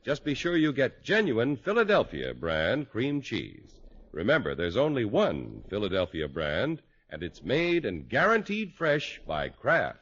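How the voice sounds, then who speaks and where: A man's voice: medium pitch, huge pitch swings, a little slow, noisy background. An American man announcing and reading adverts in scripted 1950s radio style. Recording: dull vintage radio broadcast recording.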